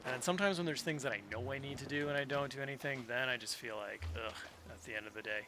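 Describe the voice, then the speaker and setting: A man streaming a video game, chatting casually and relaxed with his viewers. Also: mid-range voice, fast, noisy background. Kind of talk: casual gameplay commentary. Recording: loud game music behind.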